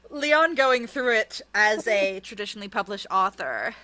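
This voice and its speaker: A woman author being interviewed, chatting casually in an animated, self-deprecating, sweary way. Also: a little high, slow, dry recording.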